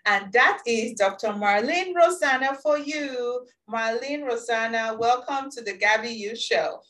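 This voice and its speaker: Woman, interview host, chatty, eager, laughs often, bright tone, mic at a distance. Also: a little high, a little slow. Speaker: podcast host. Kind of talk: casual interview chat.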